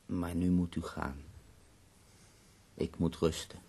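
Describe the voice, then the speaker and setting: The husky, very low-voiced invalid poet of a radio play, delivering weary, self-mocking irony from his sickbed. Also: steady tone, slow, dark tone, exaggerated voice. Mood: weary, bitter. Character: ailing, bitterly ironic, bedridden male poet. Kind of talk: radio drama acting.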